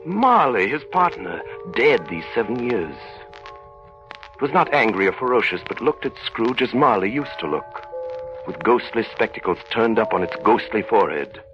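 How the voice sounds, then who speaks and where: A man's voice: medium pitch, sing-song, normal speed, natural voice. A man narrating an old radio drama in a grave, suspenseful, theatrical scripted style. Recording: muffled vintage radio recording. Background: music.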